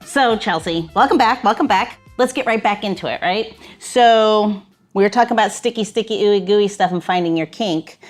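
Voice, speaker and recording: A woman's voice: medium pitch, moderate pace, soft background music. A woman hosting a podcast interview, chatty and giggly with lots of fillers. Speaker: podcast host. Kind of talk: casual chat interview.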